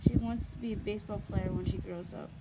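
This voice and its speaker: A woman reads as a gentle mother from a children's storybook. She sounds soft and wistful at a medium pitch, with clear noise in the background. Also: fairly even intonation, fast talker, dull audio, close to an everyday voice. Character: gentle, sighing mother of a young girl.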